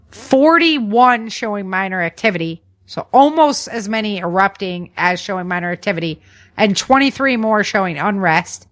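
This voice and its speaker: A woman hosting a podcast, chatting casually to listeners in an animated, folksy way with informal asides. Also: mid-range voice. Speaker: podcast host. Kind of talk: casual news chat to listeners.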